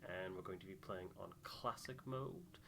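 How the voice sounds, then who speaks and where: Male game host, very low but crisp-edged voice, chatty and narrating, slight background noise. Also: moderate pace, fairly bright tone. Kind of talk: casual chat and read-aloud game narration.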